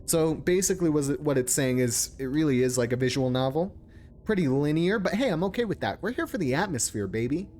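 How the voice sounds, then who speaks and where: Man streaming with lively, swinging intonation. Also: a little high, brisk, slight background noise. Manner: informal, sweary, joking. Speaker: game streamer. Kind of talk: casual live playthrough commentary, reading text aloud.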